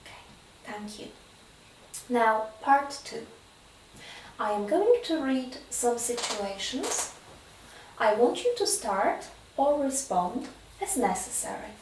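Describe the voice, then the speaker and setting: A woman with a high, very lively voice runs a spoken English exam, giving clear, measured prompts at an unhurried pace. Her voice sounds roomy and echoing, as if the mic sits some distance away. Faint background noise is heard under the talk. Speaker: exam interviewer.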